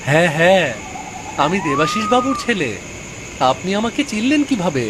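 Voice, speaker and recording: A man's voice: high voice, sing-song, moderate pace, noisy background. A man playing an earnest young village son in a cartoon story, speaking expressively. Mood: lost, grateful. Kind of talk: animated cartoon story dialogue.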